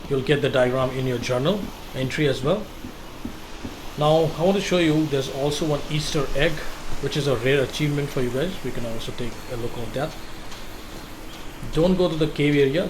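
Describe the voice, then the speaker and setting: A man's voice: mid-range voice, background noise. A man hosting a casual game walkthrough, guiding the viewer step by step and often repeating himself. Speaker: gameplay walkthrough host.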